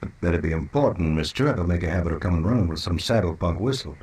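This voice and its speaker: Frontier boss, American accent, low-pitched, curt, guarded, haughty, vintage radio western. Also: male voice, lively intonation, quick pace, darkish tone, husky. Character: curt, haughty male town big shot, Old West. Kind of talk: old-time radio western acting.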